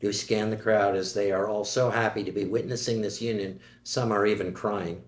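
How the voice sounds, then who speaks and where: Man reading stage directions, low and echoey. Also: fairly even intonation, normal speed. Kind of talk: screenplay action reading.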